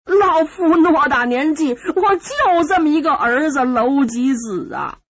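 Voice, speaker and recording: A woman storyteller voicing an old father who wails and sobs a drawn-out lament for his doomed son. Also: high voice, lively intonation. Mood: wailing grief. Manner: drawn-out sobbing lament with stretched cries. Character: wailing old father mourning his doomed son, mocking ghostly mourner.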